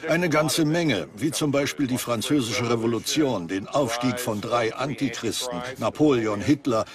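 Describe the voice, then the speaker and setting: A man's voice: medium pitch, moderate pace, a crowd in the background, lightly acted. A man explaining as an expert in a dubbed documentary. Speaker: prophecy expert.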